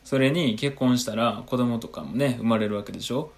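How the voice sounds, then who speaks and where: A man's voice: medium pitch, a little fast. A man, a podcast co-host explaining things politely and matter-of-factly in a comic skit. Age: late 20s. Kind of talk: radio-drama style comic skit dialogue.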